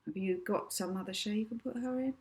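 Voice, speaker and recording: A woman's voice: mid-range voice, a little fast, a little mellow, pitched above the performer's own voice, close to an everyday voice. A woman voicing a bossy, confident young girl hypnotist with a demanding, imperious manner in a storybook reading.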